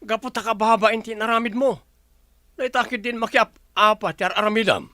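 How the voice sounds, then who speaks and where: A fed-up, hard-drinking husband scolds in a radio drama. His voice is forceful and bright, captured close and dry. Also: high-pitched, a little fast, dry recording. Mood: reproachful. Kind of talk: Philippine radio drama acting.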